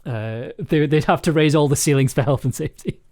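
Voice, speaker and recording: A man hosting a casual livestream chat, talking in a halting, self-interrupting way and prone to chuckling mid-sentence. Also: on the high side, mellow. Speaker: streaming host and audio drama maker.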